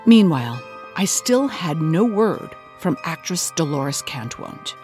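A woman's voice: sits low, sing-song, takes it slowly, darkish tone, faint music behind, exaggerated voice. A woman playing an earnest, dogged podcast host in scripted mock-documentary narration with a dramatic touch.

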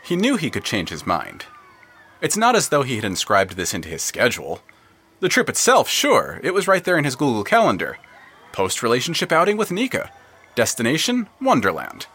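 A man narrating literary audio fiction in a wry, precise, deliberate manner. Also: mid-range voice, wildly swooping pitch, fairly bright tone, breathy edge.

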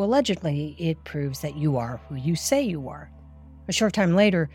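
A woman's voice: on the low side, a little mellow. A woman hosting a cyber news podcast, reading scripted narration in a conversational way. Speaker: podcast host.

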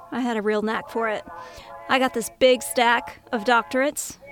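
An actress playing a blunt, brainy, world-weary scientist in an audio drama, with a dry, sharp-tongued manner. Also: female voice, a little high. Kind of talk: comic audio drama acting.

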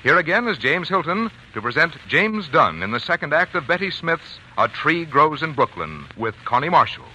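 A man's voice: fairly high pitch, very animated, moderate pace, hardly any pauses, pushed and bright. A man working as a radio announcer, reading a polished, formal 1940s sponsor message. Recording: dull, faintly noisy 1940s radio broadcast.

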